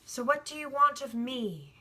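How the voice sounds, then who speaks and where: Woman reading as a wise, measured, husky sun. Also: high-pitched, animated, sharp tone, pitched above the performer's own voice, exaggerated voice. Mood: questioning. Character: the sun, wise, aloof ancient celestial being.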